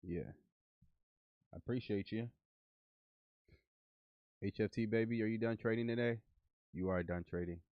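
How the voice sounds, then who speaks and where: Nasal low-voiced man getting over flu. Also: measured pace, long pauses, dry recording, dull audio. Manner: muttering to himself, talking to his bots. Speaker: livestream host coding trading bots. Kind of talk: casual live coding stream.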